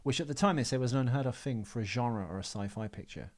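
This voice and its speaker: A man's voice: mid-range voice, quick pace. A man co-hosting a podcast, chatting casually and sarcastically about films, rambling and swearing. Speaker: podcast co-host. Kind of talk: casual film chat.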